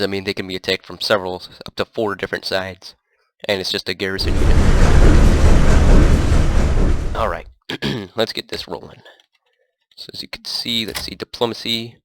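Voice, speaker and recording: A man's voice: lowish voice, moderate pace, long pauses, smooth. A man with a stuffy nose and a cough, casually rambling as he plays a strategy game. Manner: relaxed, rambling, thinks aloud. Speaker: gameplay commentator. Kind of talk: casual let's play commentary. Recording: loud background noise.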